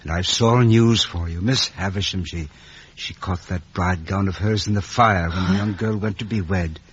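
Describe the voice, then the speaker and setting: An actor playing a gentle, loyal, simple old blacksmith with a soothing, folksy manner in a 1950s radio drama. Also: male voice, sits low, normal speed, slightly rough. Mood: sorrowful. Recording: noisy, muffled vintage radio recording.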